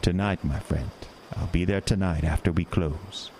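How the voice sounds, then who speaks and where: A man's voice: low-pitched, fairly even intonation, normal speed, a little mellow, slight background noise, natural voice. A man playing a menacing carnival showman who drops bits of French in a horror radio drama. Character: sinister male carnival showman.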